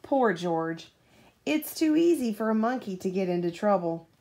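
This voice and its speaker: A woman reading a children's story aloud as a mother at bedtime, in an animated way, pausing to put questions to a child. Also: medium pitch.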